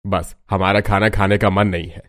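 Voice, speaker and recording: A man's voice: fairly low pitch, level tone, moderate pace, a bit bright, lightly acted. A man playing an elderly, plain-spoken father set in his tastes in a family cartoon. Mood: sulky, defensive. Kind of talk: Hindi family cartoon voice acting.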